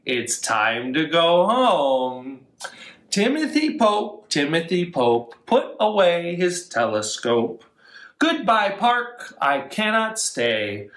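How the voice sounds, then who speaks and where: Male children's storyteller, thirties. Slow, very animated delivery, encouraging to little listeners. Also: fairly high pitch. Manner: expressive, encouraging to young listeners. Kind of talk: children's storytime reading, songs and action rhymes. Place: indoors, living room. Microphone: camera a few feet away. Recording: roomy living-room echo, camera mic.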